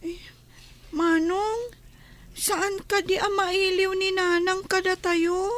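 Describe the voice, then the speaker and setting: A woman's voice: very high-pitched, flat delivery, slow pace. A woman playing a plaintive young child who misses a parent, in an Ilocano-accented radio drama. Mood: curious, wistful. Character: young child missing absent mother, plaintive and questioning.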